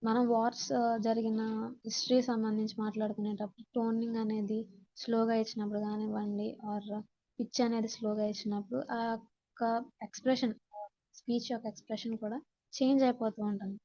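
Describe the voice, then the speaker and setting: A woman's voice: a little high, level tone, a little fast, mellow, lo-fi sound. A woman with a Telugu accent giving a lesson as an instructor, reading out points and then explaining them with repetition. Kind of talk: slide-based lesson, explaining in Telugu and English.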